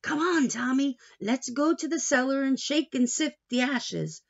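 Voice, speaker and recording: A woman voicing a playful grandfather telling things to his grandson in a children's storybook, animated and encouraging. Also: sits high, unhurried, creaky, muffled recording, voice pushed up, exaggerated voice. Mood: eager. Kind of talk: children's storybook read-aloud.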